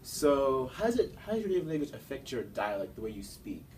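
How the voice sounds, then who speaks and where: A man's voice: medium pitch, very animated, fairly quick, a little mellow. A young man hosting an interview, asking questions in a casual way with fillers. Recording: distant mic, faint background noise.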